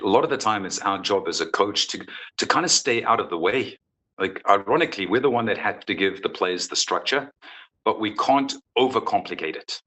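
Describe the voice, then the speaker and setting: A man speaks as a coach being interviewed. His voice sits a little low but has a bright, forceful edge. He talks casually and emphatically, often doubling back on his phrases. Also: rough recording. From South Africa. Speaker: football coach, interview guest. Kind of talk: casual sports interview chat.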